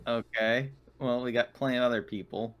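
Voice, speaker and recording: A man's voice: medium pitch, steady tone, brisk. A man chatting casually as a player at a tabletop roleplaying game, talking through his moves.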